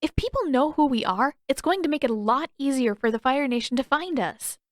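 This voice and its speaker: A woman's voice: sits high, animated, brisk, no echo, studio quality, voice pushed up. A woman voicing a wary, exasperated teenage girl in an audiobook, intense and demanding. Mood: worried, demanding. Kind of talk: audiobook character voice.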